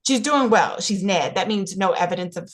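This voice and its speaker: Woman acting an earnest, animated, talkative busybody. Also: mid-range voice, natural voice. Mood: insistent, confiding. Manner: halting, repetitive, insistent. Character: Ruthie, talkative, earnest, nosy woman offering unasked health advice. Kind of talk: naturalistic stage play table read.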